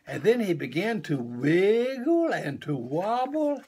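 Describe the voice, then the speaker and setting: A man in his seventies reading a children's picture book aloud expressively in a small room at home. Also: high-pitched. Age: 70s. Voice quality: gravelly, husky. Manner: slow, deliberate, animated.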